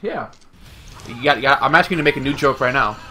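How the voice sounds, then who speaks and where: A young man casting esports, chatting and teasing his co-casters in quick banter over a headset. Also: medium pitch, expressive, sharp tone, soft background music. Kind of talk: casual chat between casters.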